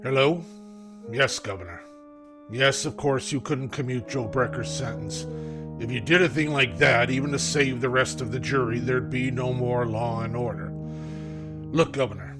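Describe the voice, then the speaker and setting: A man playing a firm, unworried authority figure with brisk declamatory delivery in 1930s radio drama style. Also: medium pitch, close to an everyday voice. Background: music.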